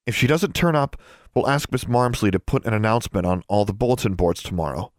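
A man's voice: on the low side, a little fast, no echo, lightly acted. A man reads as a determined teenage girl witch in a storybook, loyal and a little secretive. The voice is earnest, firm and understated, recorded very dry. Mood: reassuring.

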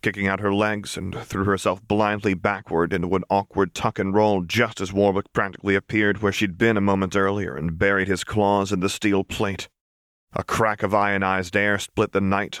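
Male audiobook narrator for action fiction: lowish voice, measured dramatic delivery, very dry close sound. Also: a bit bright, no room sound.

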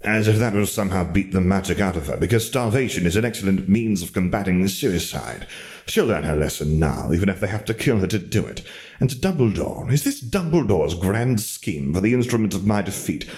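A man voicing a bitter, arrogant dark wizard for an audiobook, soft yet commanding, ranting with scornful, precise words. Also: lowish voice. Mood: furious, scornful. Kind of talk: audiobook character voice.

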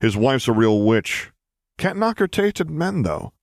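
A man voicing a cocky middle-aged smuggler with a gruff, sardonic, grumbling way of talking in an audiobook story. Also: mid-range voice, sing-song, a little slow, dry recording, clean studio sound, voice pushed up. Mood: smug.

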